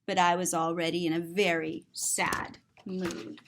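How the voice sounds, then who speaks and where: A woman's voice: fairly low pitch, flat delivery, unhurried, vocal fry, slight background noise, pitched below the performer's own voice. A woman voicing a sensitive, big-hearted little girl who tells her own story in an earnest, rhyming storybook style. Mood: sad, hurt.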